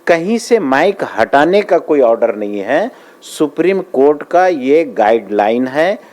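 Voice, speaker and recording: Slow-talking man giving a statement. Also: medium pitch, darkish tone. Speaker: interviewee. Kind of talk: spontaneous spoken statement.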